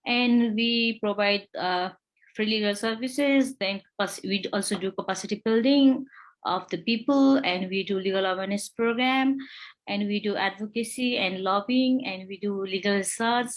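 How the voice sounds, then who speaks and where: A young woman from Nepal, a human rights lawyer, answering interview questions haltingly, with many fillers and restarts. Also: mid-range voice.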